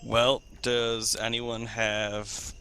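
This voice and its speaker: A man's voice: mid-range voice, monotone, drawn-out words, music in the background. A man improvising in character during a group role-play discussion.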